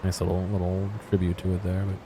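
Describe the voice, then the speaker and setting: Male gaming commentator, very low pitch, low-key and musing, unscripted chat over faint background noise. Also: completely flat delivery, fast, warm tone. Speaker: gaming video host. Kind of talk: casual off-the-cuff game exploration commentary.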